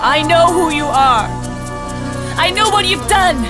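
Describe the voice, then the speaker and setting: A woman's voice: very high-pitched, expressive, unhurried, music in the background. An actress playing a terrified woman shrieking to be left alone in a video game. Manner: shrieking, pleading. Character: terrified young woman, fearful.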